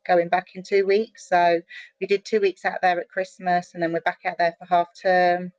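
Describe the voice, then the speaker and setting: A middle-aged woman from the UK chatting as an interview guest, animated and full of quick restarts and fillers. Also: lowish voice, no room sound, highs cut off. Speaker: guest, online marketer. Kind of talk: casual interview chat. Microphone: video call.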